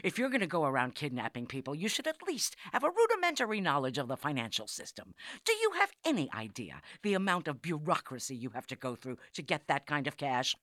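A woman's voice: low voice, wildly swooping pitch, brisk, few pauses, a bit bright, no room sound, studio quality, full character voice. A woman playing an exasperated, argumentative New York wife in an audio comedy, speaking with sarcastic, indignant emphasis. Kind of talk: comedic audio drama acting.

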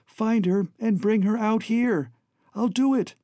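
A man's voice: sits high, a little slow, warm tone, no echo, voice pushed up. A man voicing an earnest, devoted young man in an emotional, pleading audiobook dialogue. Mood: urgent. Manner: choked, emotional, pleading delivery. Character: earnest, devoted young man bidding his family farewell.

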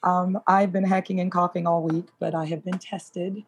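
A woman co-hosting a podcast, chatting casually, her voice hoarse from a summer cold. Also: fairly low pitch, flat delivery, normal speed. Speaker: podcast co-host. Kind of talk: casual chat.

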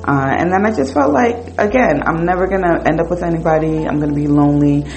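A woman's voice: sits low, fast, a little mellow. Candid woman telling her story over music. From New Jersey, United States. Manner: candid, conversational, lots of fillers. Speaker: podcast host. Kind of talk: personal storytelling monologue. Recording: music playing behind the voice.

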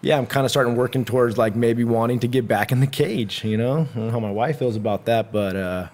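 A man answering interview questions casually, relaxed and filling his talk with "you know" and "I mean". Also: mid-range voice, fast. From Santa Cruz, California. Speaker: jiu-jitsu instructor and gym owner.

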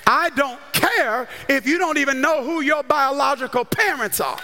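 An American man preaching a sermon with an emphatic, repetitive, call-and-response cadence, often rising into shouts. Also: extremely high pitch, moderate pace. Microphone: handheld or stage microphone. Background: music under the voice.

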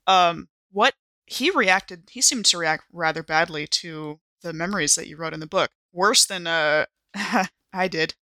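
A woman with a bright, forward voice, a little low in pitch, improvises conversational role-play. The recording is close and dry. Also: unhurried, no room sound. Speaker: role-play podcast player.

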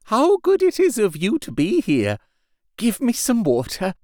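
A man's voice: high voice, huge pitch swings, unhurried, darkish tone, dry recording, clean studio sound, pitched above the performer's own voice, heavily acted. A man doing Renfield, a breathless dying patient. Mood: faint. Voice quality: strained, breathless and pleading. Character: dying male asylum patient, weak, desperate, gasping. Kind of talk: audiobook character reading.

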